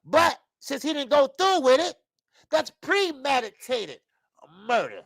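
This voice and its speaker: A man in his fifties hosting a live boxing talk stream, loud and profane, rambling and greeting viewers by name. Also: very high voice, very animated, a little slow, gravelly, no echo, clean studio sound. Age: 50s. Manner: frequent laughs and stretches of filler. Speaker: boxing show host. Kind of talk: live-stream commentary chat.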